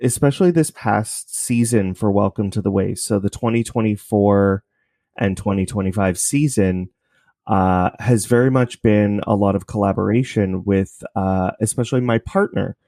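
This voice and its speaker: A man's voice: lowish voice, normal speed, mellow, no room sound, clean studio sound. A man talking casually as a podcast guest, explaining things in a rambling, self-correcting way. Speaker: podcast creator, interview guest. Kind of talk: casual interview chat.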